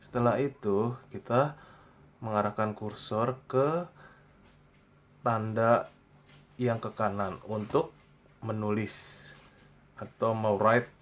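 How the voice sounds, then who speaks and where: An Indonesian man presenting a step-by-step software tutorial in a plain, instructional way, pausing between short phrases. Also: fairly low pitch, steady tone.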